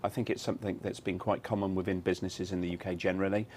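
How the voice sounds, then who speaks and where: British male executive guest, lowish voice, measured and reassuring, faint rumble behind. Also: little pitch movement, darkish tone. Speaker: insurance executive, interview guest. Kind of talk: live news interview answers.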